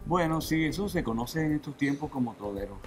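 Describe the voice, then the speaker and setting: A man's voice: mid-range voice, brisk. A man, a guest musician, chatting casually and joking on a talk show. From Venezuela. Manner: relaxed, rambling, joking. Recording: music faintly behind.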